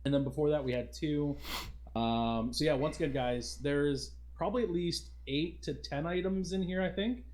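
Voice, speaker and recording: A man's voice: on the high side, normal speed, a little mellow. Casual male livestream podcast host. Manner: informal, addresses viewers as guys.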